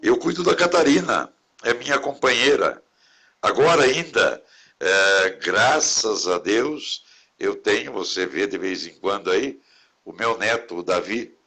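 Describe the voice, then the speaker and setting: A man, a priest talking in a relaxed live conversation. His voice sits low and has a husky edge, yet it comes across bright and forceful. He speaks reflectively, drifting into gentle sermon-like remarks. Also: measured pace. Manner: warm, reflective, preachy asides about caring for others. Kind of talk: casual conversation in a live stream.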